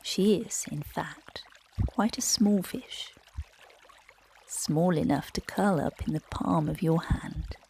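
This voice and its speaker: A woman guiding children through a relaxation and bedtime story in a gentle, soothing, breathy voice that often drops close to a whisper. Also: deep voice, takes it slowly, slight background noise. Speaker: meditation guide and bedtime storyteller.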